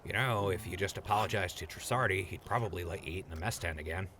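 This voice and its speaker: A wry, sardonic ghoul played by a man, low in pitch and quick on his feet in speech. He needles like a sensible friend in a post-apocalyptic audio drama, casually and brightly, with faint noise behind. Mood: teasing.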